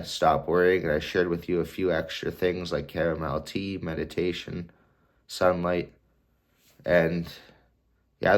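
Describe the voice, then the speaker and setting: Very deep-voiced congested young man. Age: 20s. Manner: calm, unhurried, with fillers and restarts. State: congested from a cold. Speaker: vlogger sharing mental health tips. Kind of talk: casual advice talk to camera.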